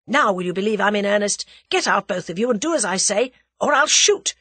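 A woman's voice: a little high, sing-song, moderate pace, bright, forceful tone, slightly rough, no room sound, voice pushed up, full character voice. Woman doing a commanding young Englishman. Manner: curt, commanding. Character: determined young Englishman taking charge.

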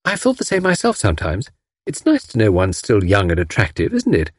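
A man voicing a lively, cheeky young woman who teases playfully. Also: on the low side, fairly quick, breathy edge, dry recording, studio quality, full character voice. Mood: teasing. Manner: bright, playful, teasing.

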